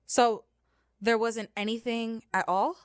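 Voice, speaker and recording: A woman's voice: on the high side, steady tone, slow, clear, resonant tone, dry recording, clean studio sound. A woman playing an exasperated, doubtful college student in an amateur radio play, with a sarcastic edge.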